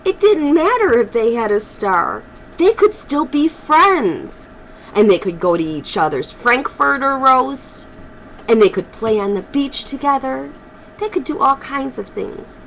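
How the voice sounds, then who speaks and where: Older woman reading a storybook, very expressive. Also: high voice, gravelly, a little hiss or hum, rough recording. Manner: animated, pausing for emphasis. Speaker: grandmother reading to children. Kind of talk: expressive storybook read-aloud.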